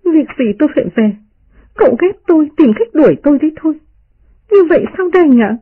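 A woman doing a shrill, hot-tempered young maid in a radio drama. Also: lively intonation, quick pace. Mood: tearful, indignant. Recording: muffled radio broadcast.

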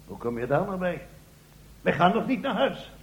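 A man's voice: sits high, sing-song, normal speed. Een man die in een komisch hoorspel een bazige, opgewonden politie-inspecteur speelt, blufferig en vol uitroepen. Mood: exasperated, bossy. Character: bossy, excitable male police inspector in comic mystery. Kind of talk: comic radio play acting. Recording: noisy, echoey old radio play recording.

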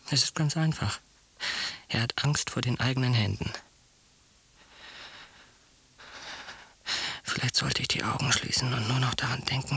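An actor in a radio drama playing a frightened, self-doubting patient who thinks aloud in a breathy, halting whisper. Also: medium pitch, pushed and bright, heavily acted, rough recording. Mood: tense, fearful. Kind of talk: radio drama interior monologue.

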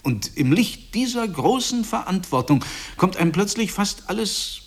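Smug husband, high, swooping, husky voice. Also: male voice, big pitch swings, unhurried, heavily acted. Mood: rapturous, preachy. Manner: emphatic, preachy, effusive. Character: smug, self-satisfied husband and new father, preaching family bliss. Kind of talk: stage-like radio drama dialogue.

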